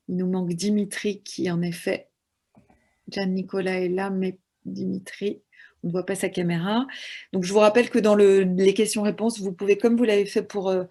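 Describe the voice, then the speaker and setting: A woman's voice: medium pitch, warm tone. A woman moderating an online round table, introducing panelists with frequent hesitations and fillers over a video call.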